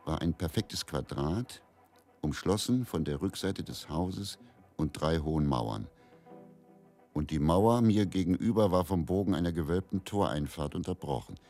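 An actor playing a haunted, resigned man who recounts his story in a measured, deliberate dramatic monologue with pauses. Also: low-pitched, a little mellow. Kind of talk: slow first-person dramatic monologue.

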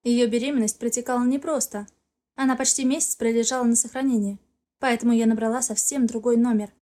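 A woman reads fiction aloud in a fairly high voice with a soft, mellow tone. Her delivery is scripted and expressive, shaped as dramatized first-person storytelling. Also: quick pace. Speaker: audiobook narrator.

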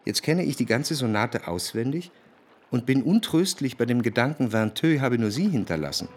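An actor playing a reflective, cultured man who recalls his past in a literary radio drama, with measured, precise diction. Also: medium pitch, animated. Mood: wistful. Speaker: narrator.

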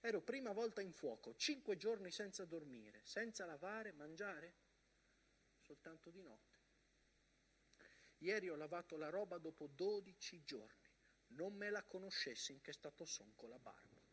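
An animated, high-pitched wartime storyteller. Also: male voice, normal speed, slightly rough, heavily acted. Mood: plain, weary. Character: First World War soldier narrator reading front-line letters aloud. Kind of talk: theatrical monologue, dramatic narration.

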